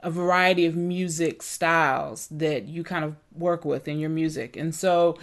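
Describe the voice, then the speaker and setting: Lady podcast host, kinda low voice, chill, lots of ums. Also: steady tone, normal speed. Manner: easygoing, with frequent ums and restarts. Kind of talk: casual show intro and interview.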